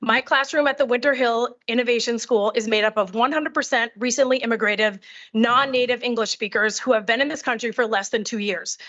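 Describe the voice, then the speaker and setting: High-voiced middle-aged woman giving testimony. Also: fairly bright tone. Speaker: teacher testifying. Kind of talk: prepared public testimony. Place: committee hearing. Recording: dull, muffled audio.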